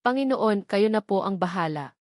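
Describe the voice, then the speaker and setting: A woman voicing a tearful young woman who prays softly and pleads. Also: medium pitch, expressive, normal speed, dry recording, clean studio sound, natural voice. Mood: pleading. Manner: soft, pleading.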